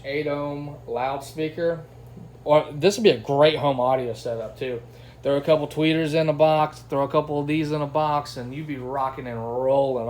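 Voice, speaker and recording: A man's voice: mid-range voice, darkish tone. A man with an enthusiastic, slangy, folksy way of talking, giving a casual product review as host. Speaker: host of product unboxing and test video. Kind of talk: casual unboxing review chat. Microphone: phone's built-in microphone. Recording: noisy phone camera recording.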